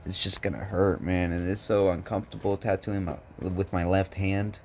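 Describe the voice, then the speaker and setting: Hoarse young man rambling casually. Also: low voice, flat delivery, moderate pace, a little hiss or hum. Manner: meandering, joking, laid-back. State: hoarse from smoking. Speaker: vlogger. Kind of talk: rambling casual vlog chat. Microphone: phone.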